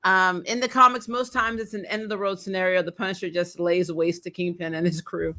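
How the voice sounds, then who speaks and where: A woman's voice: fairly low pitch, fairly even intonation, fairly quick, sharp tone. A woman hosting a casual chat with viewers, speaking informally and with emphatic opinions. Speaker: host.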